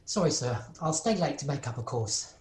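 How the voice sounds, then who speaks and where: A woman's voice: a bass voice, lively intonation, a little fast, a little mellow, slight background noise. A woman playing a meek, anxious male clerk in an amateur radio play, deferential, stammering and flustered. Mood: flustered apology. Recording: roomy, echoing home recording.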